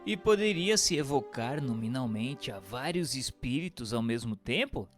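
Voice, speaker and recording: A man reading scripted notes and questions for a radio drama with formal, measured diction. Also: mid-range voice, expressive, over music. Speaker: reader posing the questions.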